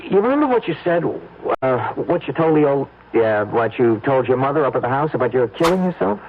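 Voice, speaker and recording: A man's voice: mid-range voice, very animated, a little fast. An American man acting in an old-time radio drama as a scheming young husband, stammering and repeating himself as he coaxes. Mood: urgent coaxing. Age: young adult. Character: scheming young husband, nervy, coaxing, smooth-talking. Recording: muffled old radio broadcast.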